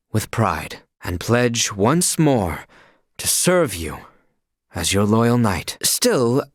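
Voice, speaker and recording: Earnest, formal young knight with expressive range. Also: male voice, medium pitch, no room sound, clean studio sound. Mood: solemn, devoted. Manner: formal, stately, earnest delivery. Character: loyal, earnest, duty-bound young male knight. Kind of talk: video game voice acting, formal archaic dialogue.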